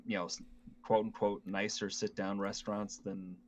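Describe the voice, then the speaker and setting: A man's voice: on the low side, level tone, moderate pace, a little mellow. A man co-hosting a podcast in casual, conversational chat. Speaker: podcast co-host.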